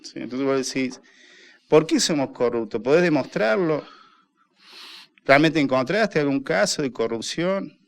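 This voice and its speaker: A man's voice: on the high side, animated, measured pace, creaky, long pauses. A man with an Argentine accent, a radio guest who lays out his arguments step by step like a lecture. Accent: Argentine Spanish, voseo. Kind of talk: radio discussion.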